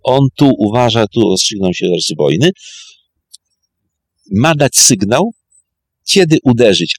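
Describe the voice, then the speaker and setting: An animated male historian recounts military history with emphatic, short, repeated phrases. His pitch moves widely around a middle register, and the sound is dry and close. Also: no echo. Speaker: historian guest.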